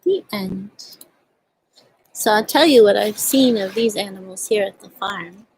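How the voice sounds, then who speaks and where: A woman with a husky voice hosting a storytime for young children, speaking gently and in simple phrases as she reads and chats outdoors. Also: medium pitch, measured pace, slight background noise, poor audio. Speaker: children's storytime host at a community farm. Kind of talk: storybook read-aloud and casual chat to children. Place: outdoors at a farm.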